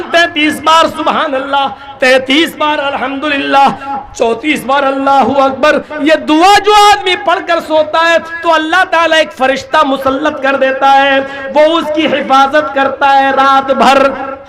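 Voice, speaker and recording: A male preacher giving an impassioned sermon, often raising his voice to a shout and slowing down to recite Arabic prayers. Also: extremely high pitch. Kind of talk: Islamic sermon.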